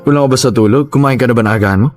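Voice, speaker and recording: Guy playing a kindly worried office boss, radio drama, medium pitch, casual, faint music behind. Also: rapid-fire, natural voice. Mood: concerned, easygoing. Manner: casual, hesitant, humming interjections. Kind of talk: Tagalog radio drama acting.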